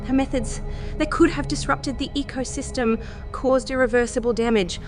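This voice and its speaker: A woman's voice: high voice, fairly even intonation, normal speed, close to an everyday voice. A woman playing a fearful, guarded scientist in an audio drama, with a flustered, clipped way of talking. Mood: anxious. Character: harried, fearful, guarded female scientist on Mars. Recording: voice over loud music.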